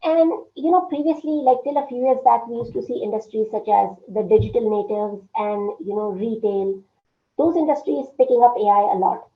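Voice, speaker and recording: A woman giving an online workshop presentation with a strained, hoarse voice, speaking haltingly with many fillers and frequent throat clearing. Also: fairly high pitch. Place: indoor room at home. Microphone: laptop webcam on a video call. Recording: phone-like video call audio, roomy echo.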